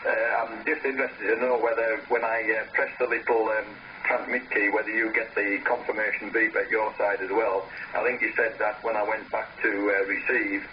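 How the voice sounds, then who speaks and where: Male radio amateur, lowish voice, casual and explanatory, dull radio-link sound with clear noise. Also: flat delivery, moderate pace. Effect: heard over a radio link. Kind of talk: casual technical chat over ham radio. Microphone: tablet's built-in microphone, relayed through radio speaker. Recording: dull, noisy radio audio recaptured by camera.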